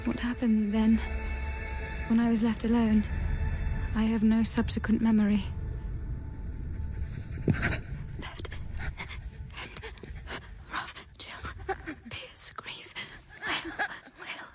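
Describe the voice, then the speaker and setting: Slow, breathy haunted governess. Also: female voice, a little high, dark tone, soft background music. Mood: dazed grief. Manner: slow, halting, often dropping to a breathy whisper. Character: haunted governess narrating her own memory. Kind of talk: gothic audio drama monologue.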